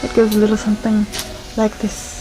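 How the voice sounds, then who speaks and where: Deadpan woman narrating over loud music. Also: medium pitch, fairly quick, rough recording. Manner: solemn, poetic, deadpan. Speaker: mock documentary narrator. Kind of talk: deadpan mock-nature-documentary narration.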